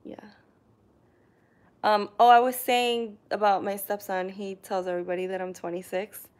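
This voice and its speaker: A woman's voice: medium pitch, level tone, normal speed. A young woman from New York chatting casually on a live stream, hesitant with many ums, in her living room. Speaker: vlogger reading live chat comments. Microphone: camera on a tripod.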